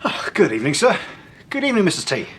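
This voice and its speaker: Brisk, deferential manservant, noisy recording. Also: male voice, sits high, huge pitch swings. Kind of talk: vintage radio drama acting.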